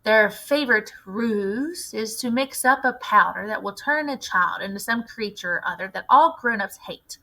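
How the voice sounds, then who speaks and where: A woman voicing a firm, grimly knowing elderly grandmother in a storybook, with measured, grave delivery. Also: mid-range voice, pushed and bright, rough recording. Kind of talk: storybook read-aloud character voice.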